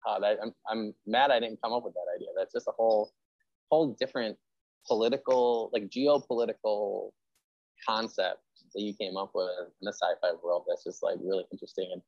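A man's voice: on the low side, steady tone, fairly quick, vocal fry, no echo, highs cut off. A man hosting a casual interview, chatting effusively and peppering his talk with "like". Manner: effusive, conversational, heavy use of "like". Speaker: interview host; history teacher.

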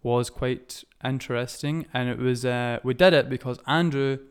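A man hosting a podcast in a casual, informal chat, often halting and rephrasing himself as he goes. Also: mid-range voice, steady tone, clean tone. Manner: informal, halting, self-correcting. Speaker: podcast host.

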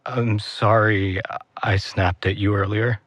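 A man's voice: on the low side, fairly even intonation, takes it slowly, fairly bright tone, no room sound. A man acting in an audio drama as an earnest, exhausted and protective older brother, speaking with feeling. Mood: weary, tender.

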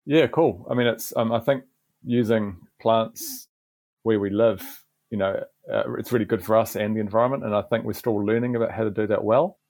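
A middle-aged man with a New Zealand accent, a garden manager, explaining in a relaxed podcast interview with frequent restarts. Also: on the low side, moderate pace, no echo.

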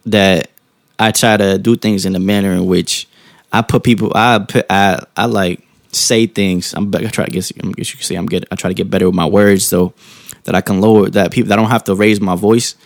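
A man speaks in a low, mellow voice with a good deal of fry in it. He is calm and soft-spoken, musing informally and often circling back to reword a thought. The sound is dry, with no room around it. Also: dry recording. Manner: calm, informal slang, halting rephrasing with frequent tag questions. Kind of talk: casual reflective monologue.

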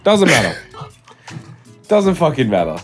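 A man's voice: fairly high pitch, huge pitch swings, measured pace, faint music behind. A young man co-hosting a podcast over a call, congested, laughing often and swearing as he chats casually. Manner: laughs often, swears, trails off mid-sentence. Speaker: podcast co-host. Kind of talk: casual chat. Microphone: remote call mic.

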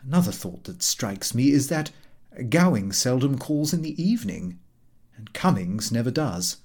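A man narrating a comic diary audiobook in an expressive, fussy and aggrieved theatrical manner. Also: medium pitch, normal speed.

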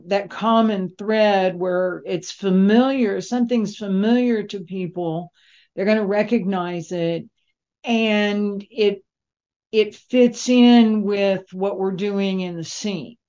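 A woman interviewer speaking conversationally, with deliberate, reflective phrasing. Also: medium pitch, mellow. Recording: dull, lo-fi band-limited sound.

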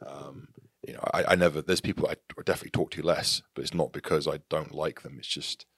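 A man's voice: a bass voice, steady tone, fast talker, vocal fry, fairly bright tone, breathy edge, dry recording, studio quality. An English man in his thirties, a podcast guest talking candidly and reflectively in a casual interview. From England. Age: 30s.

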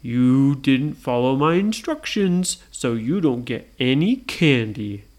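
Big storybook monster, friendly yet firm, emphatic, lively swooping pitch, played by a woman. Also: slow, heavily acted.

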